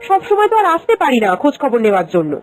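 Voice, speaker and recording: A woman's voice: sits high, wildly swooping pitch, rapid-fire. A woman playing a caring, cautious village housewife, an elder sister-in-law, in a moral-story cartoon, speaking earnestly and expressively.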